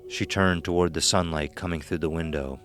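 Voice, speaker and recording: A man's voice: very deep voice, steady tone, fairly quick, music in the background. A man reading a scripted horror story as narrator.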